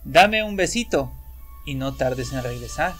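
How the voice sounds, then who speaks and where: A man voicing a caring mother in a storybook tale, gentle but warning. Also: medium pitch, animated, unhurried, soft background music. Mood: tender. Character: caring, worried mother of a little girl.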